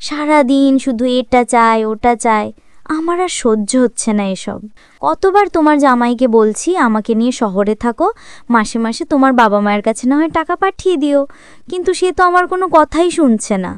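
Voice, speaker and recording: A woman's voice: high voice, expressive, fairly quick, clear, resonant tone, no room sound, clean studio sound. An actress playing a young wife and mother in a cartoon drama, coaxing and easily aggrieved, with an insistent, emotive manner. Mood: complaining.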